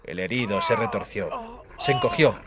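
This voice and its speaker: A man's voice: lowish voice, lively intonation, moderate pace, a loud crowd. A man narrating a fantasy audiobook in a dramatic, scripted style.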